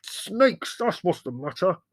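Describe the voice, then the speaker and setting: A man's voice: mid-range voice, brisk, a little mellow, slightly rough, dry recording, pitched above the performer's own voice, close to an everyday voice. A man voicing a hot-tempered young man in a storybook, bellowing and snarling as he swears. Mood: enraged.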